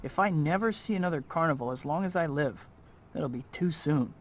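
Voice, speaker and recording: An actor playing a wisecracking young superhero in a video game, plucky and sarcastic, talking to himself in quips. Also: male voice, a little high, brisk, noisy background, close to an everyday voice. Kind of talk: video game hero acting.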